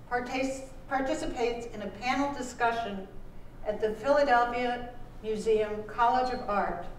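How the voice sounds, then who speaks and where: A woman giving a live reading of scripted narration in a deliberate, measured way, with pauses. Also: a little high. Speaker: author reading aloud at a book launch. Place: indoor launch performance, live event. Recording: echoey room, distant mic, faint noise.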